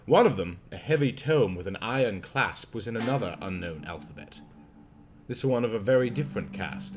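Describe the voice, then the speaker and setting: A man reading a literary horror story as an audiobook narrator, in a measured, formal delivery. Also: mid-range voice. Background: guitar music.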